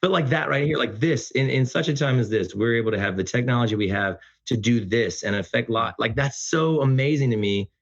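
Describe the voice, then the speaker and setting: A man's voice: medium pitch, a little fast, few pauses. A middle-aged man talking casually and earnestly as an effusive podcast guest. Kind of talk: casual heartfelt conversation. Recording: dull lo-fi audio.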